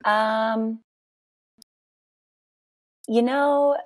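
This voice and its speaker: A woman in her forties with a raspy voice, chatting and telling stories as a podcast guest, laughing often. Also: a little high, fairly even intonation, drawn-out words, poor audio. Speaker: guest, worship singer. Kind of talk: casual interview chat.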